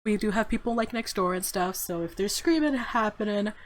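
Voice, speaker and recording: A woman's voice: mid-range voice, fairly quick, a little hiss or hum. A woman hosting a let's play with casual, slangy commentary, giggly and easily spooked as she reacts. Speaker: gaming video host, let's player. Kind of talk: casual let's play commentary with visual novel read aloud.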